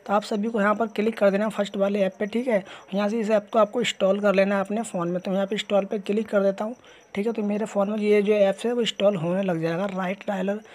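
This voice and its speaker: A man's voice: sits high, flat delivery, fast. A man narrating a step-by-step phone tutorial in an informal, repetitive way, addressing viewers as guys. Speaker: tech tutorial host. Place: voice-over on a phone screen recording.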